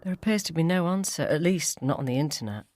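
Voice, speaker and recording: A woman reading a first-person ghost story as scripted dramatic narration, measured and suspenseful. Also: low voice, lively intonation, a little mellow, a little hiss or hum. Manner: suspenseful, measured, acts out dialogue.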